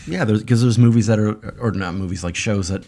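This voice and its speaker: A man chatting casually as a podcast guest, with a deadpan manner. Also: fairly low pitch, a little fast. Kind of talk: casual chat.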